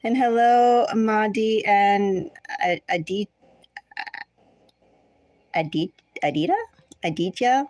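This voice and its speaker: A woman's voice: mid-range voice, slow pace, long pauses, a little mellow, dry recording, highs cut off. American woman, casual livestream tarot host, dry sound. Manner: rambling, chatty asides. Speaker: tarot reader hosting a livestream. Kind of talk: casual livestream chat with viewers.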